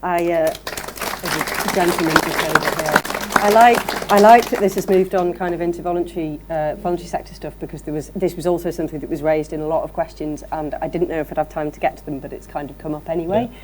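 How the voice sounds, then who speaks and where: A woman's voice: low voice, steady tone, fast. A woman moderating a live audience Q&A in an informal, chatty, improvised way. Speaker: event moderator. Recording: faint room noise.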